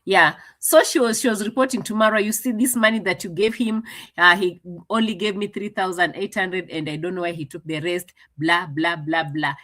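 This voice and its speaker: Chatty woman commentator, bright voice. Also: on the low side, fairly even intonation, normal speed. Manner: chatty, translating and mocking, laughs often. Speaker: commentator reacting to a clip. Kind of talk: casual commentary.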